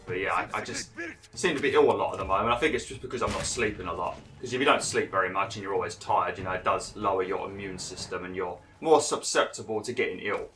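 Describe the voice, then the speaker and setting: Bright-toned lad with a froggy throat. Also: male voice, low-pitched, fast, faint music behind. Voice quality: slightly nasal, froggy throat. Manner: chatty, rambling asides. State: hoarse, a bit ill. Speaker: gaming YouTuber. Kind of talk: casual gameplay commentary.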